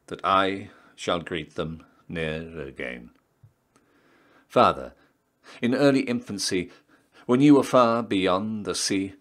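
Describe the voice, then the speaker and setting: A man reciting poetry in a measured, solemn and theatrical way, often letting his line endings drop to a hush. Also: low voice. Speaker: poetry reader, town crier.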